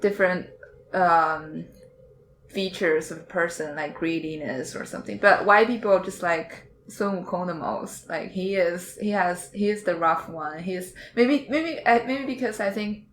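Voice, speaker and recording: A woman's voice: on the low side, a little slow, fairly bright tone. A woman talking casually as a podcast panelist, hesitant and searching for her words. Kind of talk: casual discussion. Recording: echoey room with faint noise.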